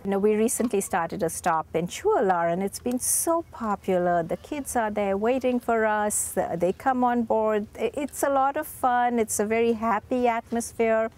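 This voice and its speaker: A woman's voice: fairly high pitch, normal speed, hardly any pauses, a little mellow. Enthusiastic woman in her forties, storytelling. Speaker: library staff member. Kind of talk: interview. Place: outdoors beside a bookmobile.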